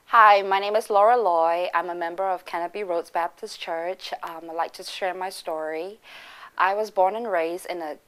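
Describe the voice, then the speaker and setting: Earnest, lower-pitched woman. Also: steady tone, a bit bright, clear, resonant tone, low-quality recording. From Singapore. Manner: earnest, reflective, pausing often. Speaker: church member giving testimony. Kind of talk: personal faith testimony.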